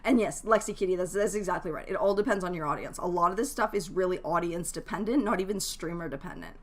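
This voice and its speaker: Low-pitched, chatty woman streamer. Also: quick pace. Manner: rambling, chatty, often breaks off to address chat; jokey, laughs easily. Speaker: game streamer. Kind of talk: casual livestream chat, giving advice to viewers.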